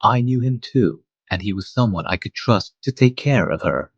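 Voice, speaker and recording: A man playing a mild, wistful adult guardian, narrating a manga dub in a measured, reflective way. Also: lowish voice, lively intonation, slightly rough, no echo, highs cut off. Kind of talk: scripted first-person manga dub narration.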